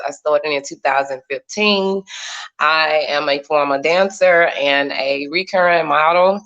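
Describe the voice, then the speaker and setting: Bright-voiced animated woman in her thirties. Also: low-pitched, muffled recording. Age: 30s. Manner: animated, laughs often, churchy phrasing. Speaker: guest. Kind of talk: casual chat. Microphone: video call.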